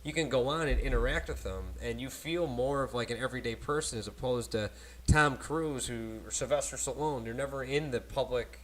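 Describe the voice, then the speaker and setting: A man hosting a casual podcast on a cheap desk microphone, talking in animated, rambling bursts with frequent laughter. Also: mid-range voice, a little fast. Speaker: podcast host. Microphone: cheap desk microphone at a laptop.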